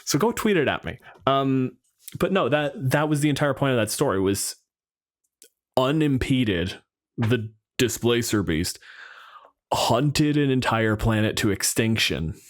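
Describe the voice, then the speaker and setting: A man's voice: medium pitch, expressive, a little slow. Casual, wry man chatting on a podcast. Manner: casual swearing, wry asides. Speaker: podcast co-host. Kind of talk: casual unscripted chat about tabletop game monsters.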